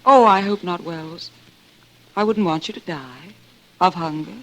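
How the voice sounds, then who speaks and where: An actress playing a cool, scheming wife with a secret, in poised, clipped 1940s radio drama style. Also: female voice, on the low side, measured pace, a bit bright. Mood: silky, teasing. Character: cool, scheming wife keeping her husband hidden. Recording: noisy old radio recording.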